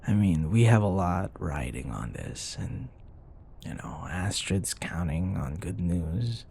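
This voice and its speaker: A man's voice: sits low, expressive, slow, creaky, a little mellow, slight background noise, heavily acted. A man acting a grumpy, sarcastic office rival who grudgingly cares, in an intimate, blunt, conversational roleplay style. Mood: soft, concerned. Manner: exasperated, blunt, conversational, sighing.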